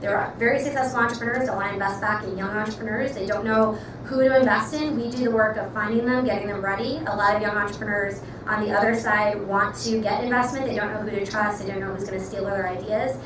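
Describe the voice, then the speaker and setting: A young woman with an American accent, a startup founder giving an earnest pitch talk to a live audience, full of ums and likes. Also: steady tone. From Pakistan. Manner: earnest, fast-flowing, many ums and likes. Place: event room with audience. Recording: echoey room, far mic, noisy.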